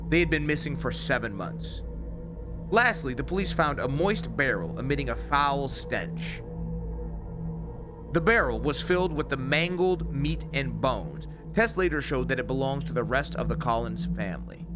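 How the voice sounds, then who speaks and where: A man's voice: medium pitch, animated, moderate pace. Casting: male narrator for scripted horror documentary, factual and formal, with prominent music under the voice. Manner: matter-of-fact, formal.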